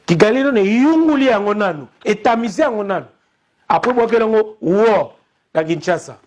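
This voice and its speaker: A young man with a Congolese accent and a notably high-pitched voice delivers an impassioned commentary. He talks urgently, repeating his points and calling out to his listeners again and again. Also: animated, a little fast, darkish tone, a little hiss or hum. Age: young adult. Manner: urgent, repetitive, appealing to his audience. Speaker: press commentator.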